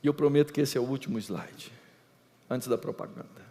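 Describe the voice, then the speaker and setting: A middle-aged Brazilian man with a Brazilian Portuguese accent, preaching an emphatic lecture-style sermon that swings between loud and hushed. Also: medium pitch, a little fast. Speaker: pastor. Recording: reverberant hall.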